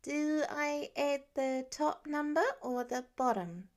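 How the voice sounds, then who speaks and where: A woman's voice: high voice, takes it slowly, darkish tone, pitched above the performer's own voice. A woman voicing an eager, chatty little boy with an earnest, childlike manner in a story reading. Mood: puzzled, tentative. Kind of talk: audiobook character voice.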